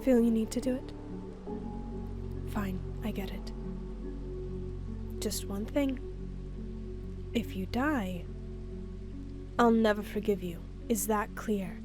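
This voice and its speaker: A woman's voice: mid-range voice, slow, pauses often, lightly acted. A woman playing a devoted, protective young rabbit-eared bride-to-be in an audio drama, speaking with earnest emotion. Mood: firm, devoted. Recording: music and rain behind the voice. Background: music and rain.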